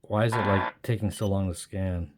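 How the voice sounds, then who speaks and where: A man with a fairly low voice talks casually to himself as he fiddles with a gadget. He sounds puzzled and mutters his way along unscripted. Noticeable radio hiss and room noise sit behind him. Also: steady tone, normal speed. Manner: muttering, puzzled thinking aloud. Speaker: hobbyist demonstrating a radio. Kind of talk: casual unscripted hands-on commentary. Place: indoors at a wooden tabletop. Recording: noisy room with radio static.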